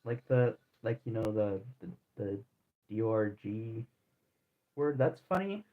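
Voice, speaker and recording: A man's voice: fairly low pitch, animated, measured pace. A man in his twenties chatting casually to viewers while streaming a game, rambling and laid-back with a lot of slang and restarts. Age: 20s. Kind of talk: casual live-stream chat while playing. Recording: tinny phone-like audio.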